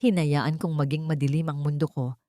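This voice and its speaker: A woman's voice: sits low, steady tone, moderate pace, warm tone, no room sound, clean studio sound, lightly acted. Woman voicing an earnest, remorseful suitor. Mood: tender pleading. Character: earnest, remorseful man in love.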